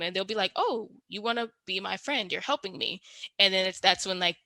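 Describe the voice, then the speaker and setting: Bright, eager woman podcast co-host. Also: medium pitch, no echo. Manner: enthusiastic, lots of "like". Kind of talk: casual podcast chat.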